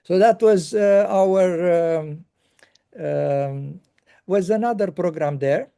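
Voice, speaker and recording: A man hosting a casual conversation, talking haltingly with many pauses and fillers. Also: high voice, vocal fry, dark tone, clear, resonant tone. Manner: halting, pausing often with fillers.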